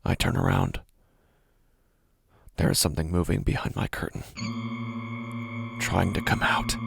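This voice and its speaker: Guy acting deep voiced anxious office worker losing it, halting intense horror podcast monologue, first person. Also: vocal fry, a bit bright, soft background music, full character voice. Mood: hushed dread. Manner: halting, intense delivery in short broken phrases. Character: anxious, unraveling male office worker narrating his horror.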